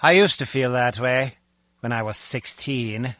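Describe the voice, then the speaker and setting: A man's voice: mid-range voice, a little slow, a bit bright, no room sound, studio quality, natural voice. A man playing a grumbling, wisecracking henchman guard in a spy video game, talking in a sardonic, conversational way. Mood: dry, disapproving. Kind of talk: video game dialogue acting.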